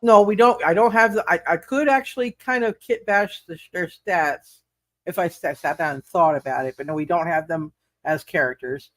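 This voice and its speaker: A man's voice: on the high side, moderate pace, fairly bright tone, no echo. A man hosting a roleplay livestream as game master, chatting casually and often repeating words and restarting his sentences. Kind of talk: casual livestream chat and recap.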